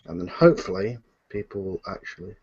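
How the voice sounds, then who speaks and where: A man's voice: lowish voice, big pitch swings, brisk, creaky, a little mellow, husky. A man hosting a live online Q and A in a casual, unscripted and slightly flustered, rambling way, over a video call. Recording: muffled lo-fi internet video call.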